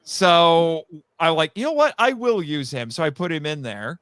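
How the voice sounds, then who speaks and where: Clear-voiced man in rambling podcast chat. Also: on the high side, animated, normal speed, a bit bright, no room sound, clean studio sound. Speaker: guest, audio drama writer. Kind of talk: casual live podcast chat.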